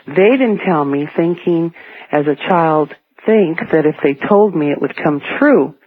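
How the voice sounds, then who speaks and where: A middle-aged woman calling a radio show, earnestly and haltingly recounting a personal ghost story. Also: sits low. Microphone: telephone. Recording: muffled narrowband telephone line on radio.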